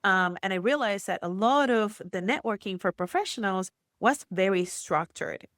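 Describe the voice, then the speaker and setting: A woman from Puerto Rico with a Puerto Rican accent, a guest entrepreneur telling her story in a chatty, animated way with many fillers. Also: medium pitch, moderate pace, no room sound, clean studio sound. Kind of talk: casual interview chat.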